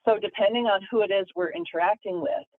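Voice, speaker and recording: A woman's voice: mid-range voice, fast talker, no room sound. Woman professor on a tinny call line. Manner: lecturing, thoughtful, repeats words while building a point. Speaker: guest, communication professor. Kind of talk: explanatory interview talk. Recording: tinny phone-like line, dry.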